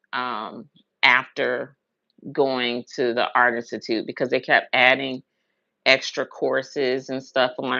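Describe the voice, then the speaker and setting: American woman, low crackly voice, unhurried pace, casual livestream storytelling, chatty, repeats for emphasis, dry slightly muffled sound. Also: gravelly, bright, forceful tone, dry recording. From Huntsville, Alabama and Brooklyn, New York, USA. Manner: chatty, colloquial, emphatic repetition. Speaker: livestream host, hairstylist. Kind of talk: casual livestream chat, rambling personal storytelling.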